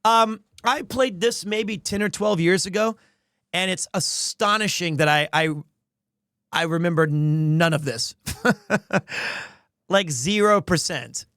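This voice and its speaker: A man's voice: high voice, takes it slowly, long pauses, a bit bright, clear, resonant tone, no room sound, clean studio sound. A man chatting casually on a game livestream in a self-deprecating, informal way. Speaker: game streamer.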